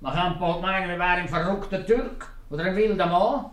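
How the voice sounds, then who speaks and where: A cheeky, outspoken tavern regular, talking in a fairly high voice with a Swiss accent. He needles in a folksy way in an old radio comedy, heard from a little way off in a roomy space. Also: a little fast, a bit bright, distant mic. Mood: teasing, chiding. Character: teasing male tavern guest, cheeky and outspoken. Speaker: actor. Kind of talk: 1950s radio comedy acting.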